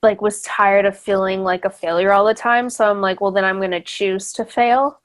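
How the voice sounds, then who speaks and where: A woman's voice: mid-range voice, steady tone, moderate pace. A young woman hosting a podcast, chatting casually with many fillers and restarts and laughing easily. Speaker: podcast host. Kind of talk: casual interview chat.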